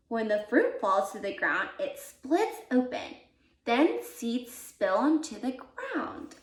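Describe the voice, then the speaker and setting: A woman reading a children's picture book aloud as a volunteer, with deliberate, expressive emphasis for young listeners. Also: on the high side. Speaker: volunteer read-aloud reader. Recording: roomy, echoey sound.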